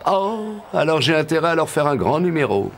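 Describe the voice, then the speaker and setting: An actor playing a brave, courteous young soldier in an animated tale, polite and assured. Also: male voice, fairly high pitch, brisk, slight background noise. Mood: confident. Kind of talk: animated film acting.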